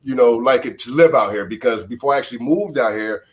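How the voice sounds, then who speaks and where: A man's voice: mid-range voice, fast. Laid-back middle-aged man, stuffy-nosed. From Chicago. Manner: laid-back, casual, many fillers. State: stuffy, nasal from a flu. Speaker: guest, former baseball player.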